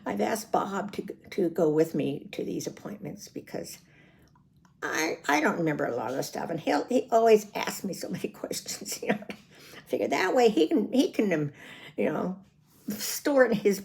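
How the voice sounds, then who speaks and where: A woman's voice: mid-range voice, vocal fry, a little mellow. An American woman in her seventies talking casually in a personal video diary, chatty and rambling, prone to chuckling. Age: 70s. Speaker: vlogger.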